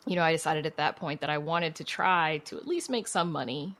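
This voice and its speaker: A woman's voice: low voice, a little fast, a bit bright. A woman podcast creator and writer answering interview questions in an informal, chatty, storytelling way. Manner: informal and chatty, laughs easily while telling stories. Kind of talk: casual conversational interview answers.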